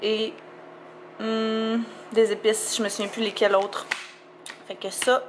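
A woman's voice: on the high side, a little slow, echoey room, background noise. A young woman in her twenties with a Quebec French accent, chatting casually to a handheld camera at home and in her car. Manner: chatty, relaxed, fillers like "fait que". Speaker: vlogger. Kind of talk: casual vlog chat. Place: home kitchen and parked car. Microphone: handheld camera at arm's length.

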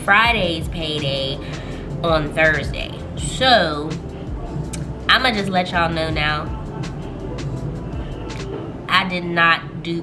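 A woman with a stuffy, just-over-a-cold voice talks slowly and loosely through her budget book. She pitches her voice a little low, laughs now and then and tosses in a lot of y'all. Music plays clearly behind her. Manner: chatty, laughs often, drawls y'all. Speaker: budgeting vlogger. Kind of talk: casual chat while working in a planner. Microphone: camera overhead on a desk.

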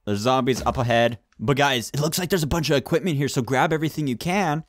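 A man's voice: mid-range voice, quick pace, dry recording, studio quality. A young man hosting gameplay banter with friends, playful and often exclaiming. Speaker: gaming video host and player.

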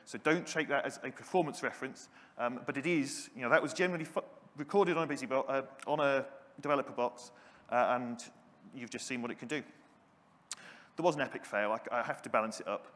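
A man's voice: mid-range voice, brisk, a bit bright. Man giving a keynote in a hall. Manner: enthusiastic, self-deprecating, with frequent ums. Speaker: conference keynote speaker. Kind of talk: technical conference talk. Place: large conference hall stage. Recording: hall with long reverb.

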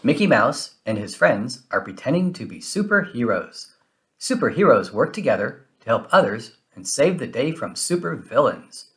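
A man reading a children's story aloud in an expressive, animated way with dramatic emphasis. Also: mid-range voice, normal speed. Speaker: storybook reader. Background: music.

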